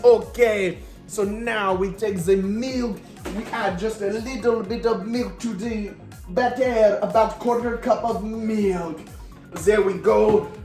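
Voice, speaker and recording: A man's voice: high voice, a little mellow. A man playing a hammy mock-French chef. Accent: put-on French. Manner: playful, hammy, gropes for words. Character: jolly mock-French chef cooking on a kids' show. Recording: roomy kitchen echo, faint music.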